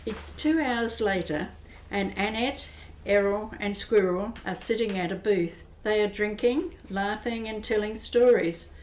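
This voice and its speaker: A woman giving steady, descriptive narration for an amateur radio drama. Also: medium pitch, a little slow, a little hiss or hum. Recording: roomy echo.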